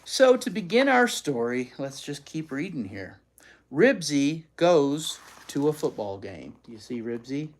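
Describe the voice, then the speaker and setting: Animated, folksy storybook reader. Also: medium pitch, unhurried. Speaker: host reading children's books aloud. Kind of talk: storybook read-aloud with chatty asides.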